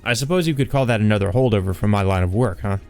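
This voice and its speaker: A confident male investigator from a visual novel , read by a man, talks in a casual, wry, assertive way. The voice sits fairly low over faint music. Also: rapid-fire, darkish tone, natural voice. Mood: smug.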